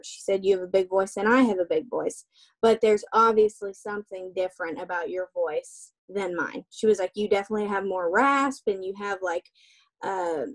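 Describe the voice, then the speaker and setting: A young woman speaks in a casual, chatty way, leaning on fillers as she answers. Her voice sits at a middle pitch, and she is heard through a webcam in a small room indoors. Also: low-quality recording. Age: young adult. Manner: relaxed, chatty, many fillers like "like" and "um". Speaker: guest, singer. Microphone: webcam on a video call.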